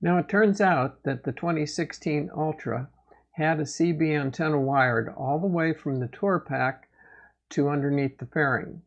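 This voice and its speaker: A man hosting a gear review channel, giving a product update in a plain, matter-of-fact, measured way. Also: fairly high pitch, lo-fi sound.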